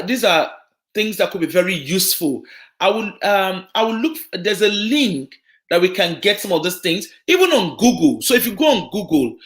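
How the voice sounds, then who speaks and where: A woman's voice: mid-range voice, a bit bright. A woman from Nigeria with a Yoruba accent, giving an earnest, explanatory live broadcast talk on social work, full of fillers and restarts. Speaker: social work guest speaker.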